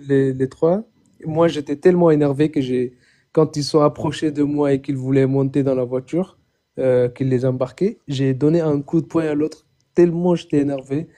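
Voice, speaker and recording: A young man from Chad calling in to tell his own story, halting, repeating words and often swearing it's true. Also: a little high, warm tone. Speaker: caller. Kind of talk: phoned-in personal testimony.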